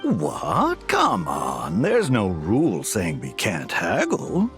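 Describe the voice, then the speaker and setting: A man's voice: fairly low pitch, slow, soft background music, full character voice. An actor playing a sly, persuasive male buyer haggling for a deal in a video game. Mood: wheedling, sly. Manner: persuasive, expressive.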